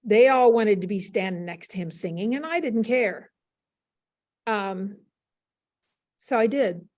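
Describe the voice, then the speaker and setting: A woman's voice: mid-range voice, animated, a little slow, darkish tone. An American woman in her seventies, frank and matter-of-fact, reminiscing conversationally as an interview guest on a video call. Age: 70s. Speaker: interview guest, former stage performer.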